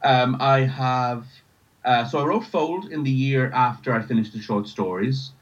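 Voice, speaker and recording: A man's voice: medium pitch, a little slow, muffled recording. An Irish man talking casually as an interview guest, hesitant, often repeating words and restarting his phrases. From Ireland. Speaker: writer and podcast creator, interview guest.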